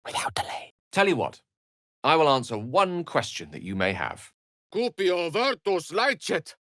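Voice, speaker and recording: A man's voice: a little high, huge pitch swings, unhurried, sharp tone, no room sound, studio quality, exaggerated voice. A male actor playing an erudite, wry wizard in a fantasy video game, articulate and theatrical, with a British accent and a streak of melancholy. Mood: curious, animated, then forceful incantation.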